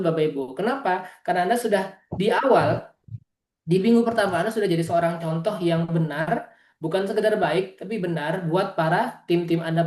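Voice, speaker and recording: A man's voice: high-pitched, darkish tone. Man, East Java origin, motivational business presenter on a video call; earnest, addresses listeners directly; echoey room sound. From East Java, Indonesia. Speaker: business presenter at an online meeting. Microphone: video call microphone.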